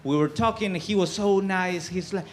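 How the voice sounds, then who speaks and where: A man from Argentina doing a stand-up set on a comedy club stage, with a halting delivery full of fillers. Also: sits high, slight background noise.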